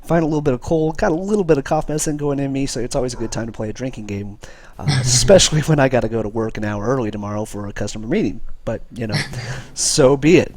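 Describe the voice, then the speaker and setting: A man's voice: medium pitch, fast, few pauses, darkish tone. A man with a cold, hosting a live game stream and chatting casually with many fillers. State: has a cold. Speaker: esports caster and stream host.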